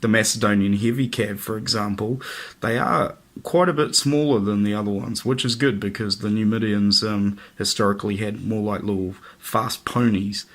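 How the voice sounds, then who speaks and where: A man's voice: fairly low pitch, fairly bright tone. New man with a head cold. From New Zealand. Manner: chatty and easygoing, many ums and uhs. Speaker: miniatures hobby reviewer. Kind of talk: casual product review.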